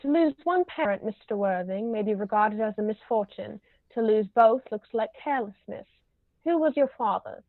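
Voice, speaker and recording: A woman's voice: lively intonation, moderate pace, lightly acted. A woman reading the part of an imperious, snobbish older aristocratic lady in a stage comedy, pompous and formal in manner. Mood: snobbish, disapproving. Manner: pompous, formal, pronouncing judgments. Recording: roomy, muffled low-quality recording.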